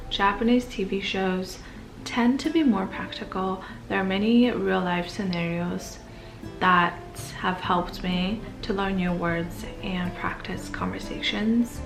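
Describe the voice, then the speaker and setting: A woman in her twenties from Canada, narrating a language-learning routine in a soft, gentle, near-whispered voice-over. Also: mid-range voice, poor audio. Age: 20s.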